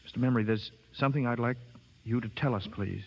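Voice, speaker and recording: An actor playing a determined, quick-witted wanted man on the run in a 1930s radio drama. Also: mid-range voice, lightly acted. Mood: firm, serious. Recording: muffled, noisy, reverberant 1930s radio broadcast.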